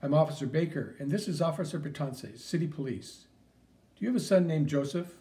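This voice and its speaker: A man's voice: fairly high pitch, animated, dark tone, close to an everyday voice. A man voicing a formal, polite police officer. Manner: formal, measured. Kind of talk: audiobook character reading.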